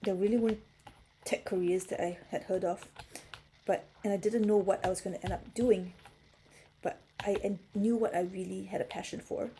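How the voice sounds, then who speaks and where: Middle-aged woman from Singapore, mellow, relaxed livestream host, faint noise behind. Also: medium pitch, normal speed, poor audio. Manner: chatty, reads and answers chat comments. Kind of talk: casual livestream chat.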